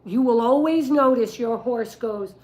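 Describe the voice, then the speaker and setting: Slow-talking older woman with a rough voice. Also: on the high side, a little mellow, low-quality recording. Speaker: dog breeder and livestream host. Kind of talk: casual livestream storytelling and advice.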